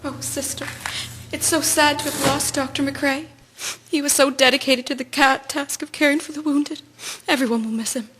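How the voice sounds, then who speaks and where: A woman playing a soft-hearted, sorrowful wartime nurse in a radio drama, plaintive and earnest. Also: high voice, steady tone, normal speed, a little hiss or hum. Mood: mournful.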